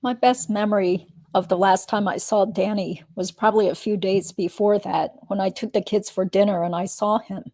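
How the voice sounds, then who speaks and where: A woman's voice: mid-range voice, steady tone, normal speed, hardly any pauses, dark tone, no echo, close to an everyday voice. A woman reading the part of a guarded, noncommittal witness giving careful answers in a dramatic reading of a deposition. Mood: hesitant, recalling. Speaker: reader playing a deposed witness.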